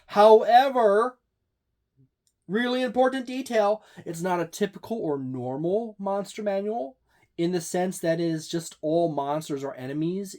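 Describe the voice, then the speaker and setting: Tabletop game reviewer, unhurried delivery, book overview, frequent fillers, halting restarts. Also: medium pitch, a little mellow.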